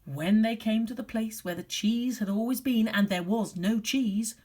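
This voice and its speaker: A woman telling a story to young children like a primary teacher, expressive and deliberate, sometimes hushed. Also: a little high.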